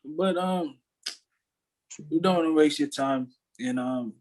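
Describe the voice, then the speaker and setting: A young man chatting casually in a slightly squeaky, husky voice, animated and slangy, often repeating himself. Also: slow, rough recording. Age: young adult. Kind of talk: casual chat.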